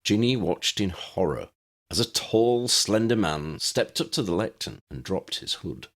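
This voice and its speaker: A man's voice: lowish voice, animated, a little slow. A man reading scripted fiction as an audiobook narrator.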